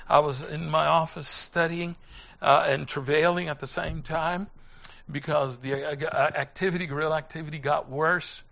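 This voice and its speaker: Older male preacher, earnest storyteller with a halting delivery and a bright, forceful tone, captured in slightly dull lo-fi sound. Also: a little high, steady tone, gravelly. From Puerto Rico. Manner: earnest, halting, with pauses and repeated phrases. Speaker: preacher and former missionary. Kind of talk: personal testimony in a sermon.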